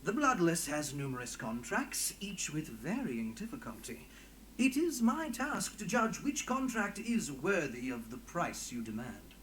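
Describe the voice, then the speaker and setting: An actor playing a sly, glib bard and underworld agent in a video game, with a flamboyant, teasing and florid delivery. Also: male voice, on the high side, big pitch swings, a little slow, fairly bright tone, a little hiss or hum, low-quality recording. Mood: smooth, mocking. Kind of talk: scripted video game acting.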